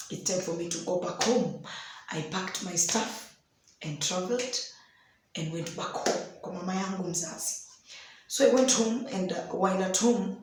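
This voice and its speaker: A young Kenyan woman with a hoarse voice tells a personal story in an unhurried devotional talk, slipping in Swahili phrases. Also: on the low side, slight background noise. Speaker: host of a daily devotional. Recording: very echoey room.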